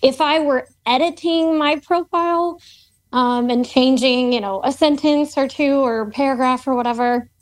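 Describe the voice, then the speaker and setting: A woman's voice: sits high, moderate pace. A woman talking in an upbeat, chatty way in a casual call-in group discussion. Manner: upbeat, chatty, many fillers. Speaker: call-in participant.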